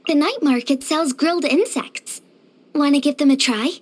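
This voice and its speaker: A woman playing a cheerful, easygoing young craftswoman in a video game, with a gentle, chirpy, earnest manner. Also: sits high, normal speed, natural voice. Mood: friendly, chatty. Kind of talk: video game character voice acting.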